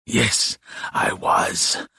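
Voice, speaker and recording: A man voicing a frail, dying old demon for an audiobook, proud and menacing, with a croaking, hissing, breathy voice and slow, grandiose delivery. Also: sharp tone, no room sound, clean studio sound, heavily acted. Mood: wistful, weak. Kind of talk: dramatic audiobook character voice.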